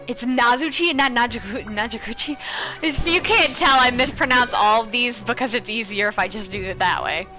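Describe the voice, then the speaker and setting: A woman's voice: fairly high pitch, a little fast, few pauses, poor audio. A woman streamer giving casual, playful and self-mocking commentary as she plays a video game. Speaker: gaming streamer. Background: game music.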